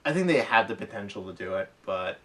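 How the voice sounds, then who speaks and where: A man co-hosting a hobby podcast, chatting casually and haltingly about his gear with enthusiasm. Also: lowish voice, animated, a bit bright. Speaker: podcast co-host. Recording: distant from the microphone.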